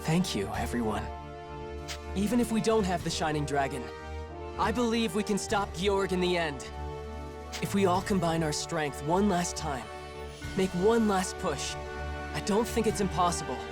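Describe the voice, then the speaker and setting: A man's voice: sits high, expressive, normal speed, pauses often. Earnest teenage boy hero, kind, sincere, video game drama, music behind. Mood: earnest, resolute. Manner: sincere, pleading.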